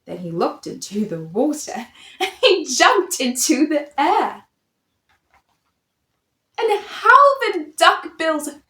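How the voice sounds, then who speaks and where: A woman reads a picture book aloud for children in a roomy, echoing space. Her voice is high and swoops expressively through each sentence. She tells it like theatre, with great animation. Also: a bit bright. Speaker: children's story reader. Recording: roomy echo.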